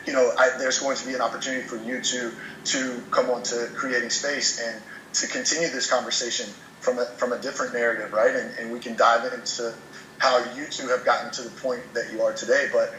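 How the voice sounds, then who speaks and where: A man's voice: medium pitch, level tone, brisk, pushed and bright, reverberant, a little hiss or hum, poor audio. A man speaking as a podcast guest in casual chat, earnest and motivational, often restarting words as he goes.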